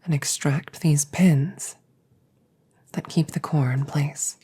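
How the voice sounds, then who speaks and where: A woman playing a calm, reassuring damaged female android in an ASMR audio roleplay, speaking in soft, breathy whispers with measured, formal phrasing. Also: low voice, heavily acted. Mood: calm, instructing. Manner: slow, measured, precise formal phrasing with pauses.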